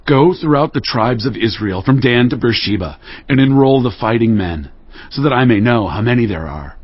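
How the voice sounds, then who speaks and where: Man acting husky, earnest warrior king David. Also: medium pitch, expressive, moderate pace, dry recording, dull audio, natural voice. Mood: commanding. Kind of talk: dramatized scripture acting.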